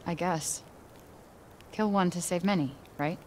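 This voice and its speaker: A woman's voice: fairly low pitch, unhurried, a little hiss or hum, natural voice. A woman playing a young, tough, sarcastic American game heroine with a conscience, blunt and slangy in delivery. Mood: troubled, subdued. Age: young adult. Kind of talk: naturalistic video game voice acting.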